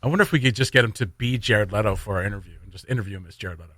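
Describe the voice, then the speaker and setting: A man's voice: fairly low pitch, rapid-fire, fairly bright tone, dry recording, clean studio sound. A man hosting a morning radio show with casual, joking, rambling banter and announcements. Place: radio studio.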